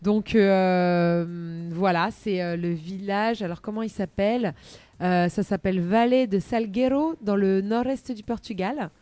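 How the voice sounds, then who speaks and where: A woman presenting a chatty live radio magazine segment in a bubbly, playful and expressive manner. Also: medium pitch, measured pace, no room sound.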